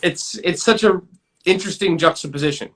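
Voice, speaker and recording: A man's voice: high-pitched, fairly quick, fairly bright tone. A man from Kansas interviewing an artist, asking curious, eager questions in a casual chat.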